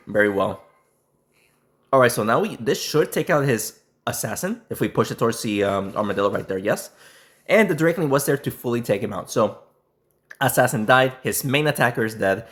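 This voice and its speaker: A man with a hoarse, strained voice, sick and close to losing it. His pitch sits in the middle range. He gives casual commentary on his own game, clears his throat, and often restarts halfway through a sentence. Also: a bit bright. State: sick, voice strained and nearly lost. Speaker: gaming YouTuber.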